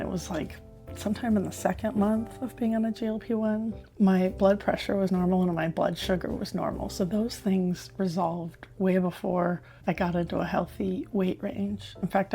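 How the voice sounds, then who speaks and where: A woman in her fifties with a hoarse voice, talking candidly to camera in a personal health vlog. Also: mid-range voice, warm tone. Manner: candid, chatty, self-reflective. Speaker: health vlogger.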